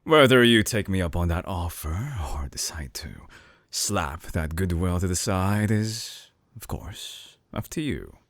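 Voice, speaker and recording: A man playing a suave, obsessive villain scientist in an intimate audio drama, murmuring with silky condescension in a breathy, theatrical way, close to the mic. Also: lively intonation, measured pace, a bit bright, no room sound, exaggerated voice. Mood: smooth, condescending. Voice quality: low, breathy, husky murmur. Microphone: close binaural microphone.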